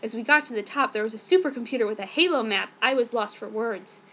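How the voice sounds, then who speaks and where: A woman with a high voice reads fan fiction aloud. Her delivery is theatrical and mock-earnest, as a storyteller playing up the drama. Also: quick pace, fairly bright tone, slight background noise. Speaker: reader. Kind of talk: dramatic fanfiction reading.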